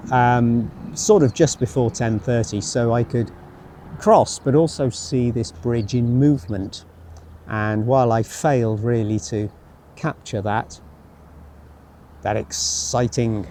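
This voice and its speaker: A man giving casual travel commentary as he walks, thinking aloud in a rambling, wry, self-deprecating way. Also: mid-range voice. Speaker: travel vlogger. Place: outdoors, walking by a road and canal. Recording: outdoor street noise with passing vehicles. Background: street ambience, vehicles.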